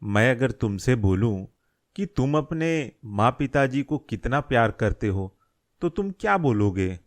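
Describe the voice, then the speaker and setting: A man's voice: medium pitch, big pitch swings, unhurried. A man voicing a stubborn, sentimental old grandfather shopkeeper who explains patiently in a cartoon story. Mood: earnest. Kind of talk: Hindi moral cartoon story dialogue.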